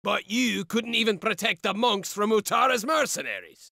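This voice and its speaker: Gravelly, high-pitched excitable warrior sidekick. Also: male voice, normal speed, no echo, clean studio sound, exaggerated voice. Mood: indignant. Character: blunt, loyal, suspicious warrior sidekick. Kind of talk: fantasy game voice acting.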